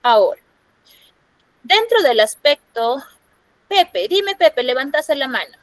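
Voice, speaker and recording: This is a Peruvian woman teaching a class over a video call. Her voice sits fairly high and sounds close and dry, with no room around it. She explains patiently and keeps asking her students if they follow. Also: sing-song, slow, no echo. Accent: Peruvian Spanish. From Peru. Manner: explanatory, checks in with frequent "¿no?". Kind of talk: online class lecture. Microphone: computer microphone on a video call.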